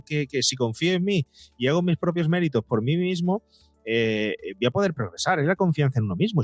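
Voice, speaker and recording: A man's voice: on the high side, no echo. A man with an accent from Spain, speaking as a psychologist guest in a podcast interview, explaining things in an emphatic, didactic way with repetition for effect.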